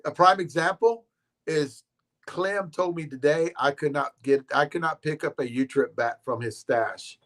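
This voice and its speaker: Animated man telling stories in a live chat. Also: a little high, measured pace. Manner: animated storyteller who acts out quotes. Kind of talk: casual live-stream chat.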